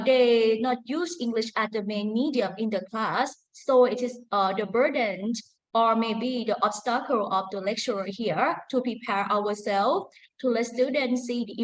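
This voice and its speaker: Thai-accented woman lecturer, higher voice. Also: poor audio. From Thailand. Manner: careful, halting delivery with frequent restarts. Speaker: university lecturer presenting research. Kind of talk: academic conference talk.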